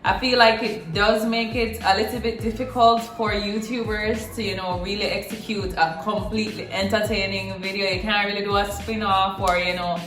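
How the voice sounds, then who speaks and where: A woman's voice: medium pitch, little pitch movement, normal speed, few pauses, a bit bright, soft background music. A young woman from Jamaica with a Jamaican accent, chatting casually to camera as a vlogger and repeating words for emphasis. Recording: roomy echo.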